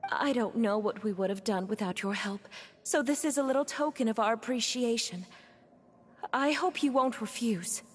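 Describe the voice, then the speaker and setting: A woman's voice: fairly high pitch, moderate pace, a little hiss or hum, lightly acted. A woman voicing a kindly, polite, earnest townswoman in a video game. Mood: grateful, polite.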